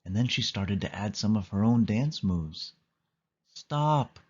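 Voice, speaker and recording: A man's voice: lowish voice, normal speed, darkish tone, breathy edge, dull audio. A man reading a scripted children's story aloud as a read-along narrator.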